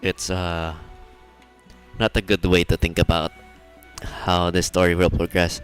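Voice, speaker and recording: Deep-voiced man casually streaming a game. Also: level tone, a little slow. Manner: musing, hesitant thinking aloud. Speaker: livestreamer, gamer. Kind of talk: casual live game commentary. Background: game music.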